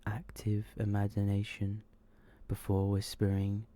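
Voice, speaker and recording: Male ASMR horror narrator. Dark, mellow, breathy and hushed, very slow and subdued, edging into whisper. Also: lowish voice, completely flat delivery. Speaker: ASMR storyteller. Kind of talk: ASMR horror story reading.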